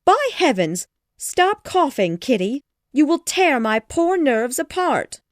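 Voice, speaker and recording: A woman voicing a fretful, easily upset middle-aged mother who talks in shrill, excitable exclamations. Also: measured pace, no room sound, clean studio sound. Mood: exasperated. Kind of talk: audiobook character voice.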